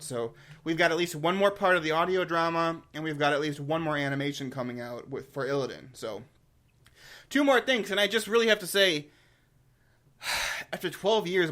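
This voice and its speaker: A man's voice: on the high side, fairly bright tone. A man giving casual, enthusiastic video commentary as a host, rambling informally and often repeating words as he goes. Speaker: host of a game lore review channel.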